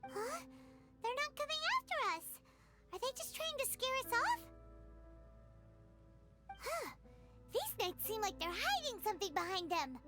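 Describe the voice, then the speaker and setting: Very high-pitched childlike fairy sidekick. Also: female voice, very animated, unhurried, pauses often, loud music behind the voice, full character voice. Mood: puzzled, curious. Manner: exclamatory, inquisitive. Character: small excitable childlike fairy companion, female, curious. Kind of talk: video game character acting.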